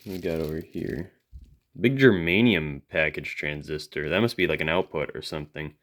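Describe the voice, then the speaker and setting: A man's voice: very deep voice, normal speed, fairly bright tone. A man giving casual, off-the-cuff hands-on commentary as a hobbyist video host, musing and thinking aloud as he goes.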